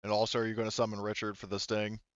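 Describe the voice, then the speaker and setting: A man's voice: fairly low pitch, monotone, very fast, no echo, lo-fi sound. A man speaking casually and informally as a game master, narrating and explaining a tabletop game.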